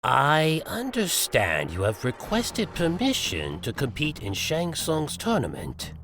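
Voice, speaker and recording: A man's voice: fairly high pitch, huge pitch swings, slow pace, a bit bright, faint music behind, exaggerated voice. A man playing a solemn old Shaolin master, grave and formal, in a comic dub.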